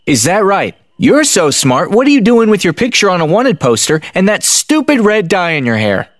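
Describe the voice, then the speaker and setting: A man's voice: high-pitched, sing-song, normal speed, no room sound, studio quality. An actor playing an earnest, plain-spoken young farm boy in an audio drama, speaking in terse lines. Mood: skeptical, needling.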